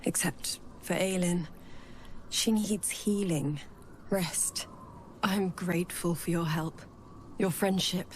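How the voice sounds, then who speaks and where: A woman playing a gentle, earnest character in a video game, soft, breathy and hesitant. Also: on the low side, fairly even intonation, slow, long pauses, slight background noise. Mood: relieved, grateful. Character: gentle, earnest woman returned from death, long in hiding. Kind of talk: intimate video game acting.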